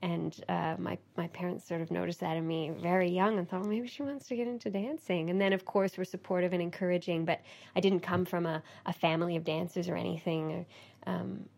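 Canadian woman with a gravelly, low voice. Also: fairly even intonation, fairly quick. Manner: soft-spoken, hesitant with many ums, laughs easily. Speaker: ballet dancer guest. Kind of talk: casual interview. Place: theatre in Leeds.

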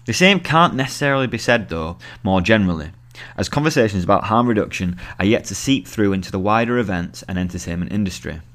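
A man's voice: on the low side, animated, fast, fairly bright tone. A man narrating a scripted long-form article as the journalist who wrote it. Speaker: journalist reading own article.

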